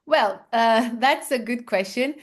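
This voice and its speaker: A woman's voice: a little high, slow. Hesitant Portuguese-accented woman. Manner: hesitant, many fillers and restarts, laughs softly. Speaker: founder guest. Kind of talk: casual interview answers.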